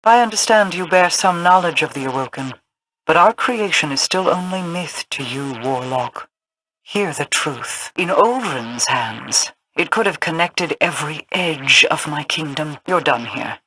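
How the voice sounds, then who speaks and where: An imperious queen of a mystical kingdom in a video game. She speaks deliberately and coldly, with a bright, forceful edge and regal command. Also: low voice, expressive, full character voice, rough recording. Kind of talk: video game character monologue.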